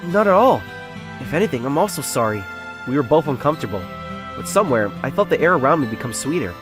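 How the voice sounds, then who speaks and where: A man acts out an earnest young salesman confiding his story in a romance comic dub. He doubts himself and sounds like a secret nerd. His delivery is brisk and highly animated, swinging expressively, with music clearly playing behind him. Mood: nervous, smitten. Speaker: narrator and lead character of a romance comic dub. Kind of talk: first-person dramatised narration.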